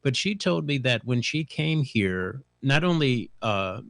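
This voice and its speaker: A man's voice: mid-range voice, very animated, unhurried, fairly bright tone, dry recording, clean studio sound. A man hosting a television interview, chatting and telling stories from his own life. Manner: conversational, storytelling. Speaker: host. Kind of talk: talk show interview.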